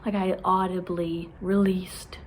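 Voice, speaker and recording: Young woman vlogger in her twenties with a stutter, casual and excited, light room noise. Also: on the low side, takes it slowly. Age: 20s. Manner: stutters, with repeated sounds and restarts; excited, laughs often. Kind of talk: casual vlog chat.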